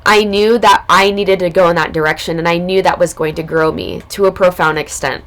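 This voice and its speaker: A woman recovering from illness, her voice hoarse and raspy, telling a personal spiritual story in a casual, loose way as a podcast host. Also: lowish voice, fairly even intonation, a little fast, fairly bright tone. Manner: casual, loose, spiritual talk with many fillers.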